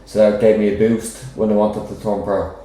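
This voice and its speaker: A young Dublin man talks in a loose, rambling way and keeps checking in with the listener. His voice sits fairly low and sounds dark and mellow. It is recorded in a very echoey room. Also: flat delivery, normal speed. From Ireland. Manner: rambling, frequent "do you know what I mean". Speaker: professional boxer, guest. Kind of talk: casual interview chat.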